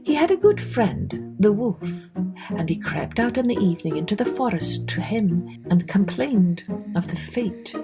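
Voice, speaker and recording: A woman's voice: mid-range voice, very animated, a little slow, gravelly. A woman narrating a scripted children's fairytale expressively, as the host of a storytelling podcast. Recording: music under the voice.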